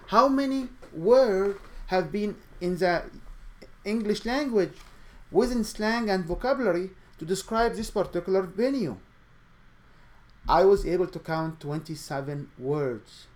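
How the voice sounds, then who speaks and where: Guy hosting his show, accent, talks in an earnest coaching way, voice on the higher side. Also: darkish tone. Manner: earnest, coaching, non-native grammar; speaks with a noticeable accent. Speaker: show host and speaking coach. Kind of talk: motivational monologue.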